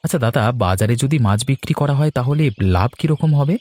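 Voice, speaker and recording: Man playing a fast-talking, practical village brother. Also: mid-range voice, lively intonation, warm tone, natural voice. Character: hardworking village brother in fish business, brisk, practical. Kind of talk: cartoon character dialogue.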